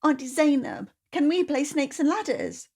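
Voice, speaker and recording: A woman's voice: sits high, expressive, moderate pace, a little mellow, pitched above the performer's own voice. A woman voicing an upset little girl who pleads in a childlike way in a storybook reading. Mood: pleading.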